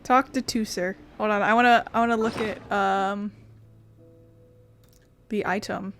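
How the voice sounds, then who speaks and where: A woman's voice: mid-range voice, steady tone, a little slow, fairly bright tone, soft background music. A woman playing through a video game in a playful, casual way, commenting and reading text aloud. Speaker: gaming video host.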